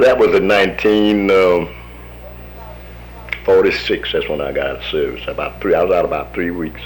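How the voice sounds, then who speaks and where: A man's voice: sits low, fairly bright tone, slight background noise, poor audio. An American man in his fifties, an army veteran telling his story in a folksy manner, acting out the voices of the people he quotes. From United States. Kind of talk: oral history storytelling.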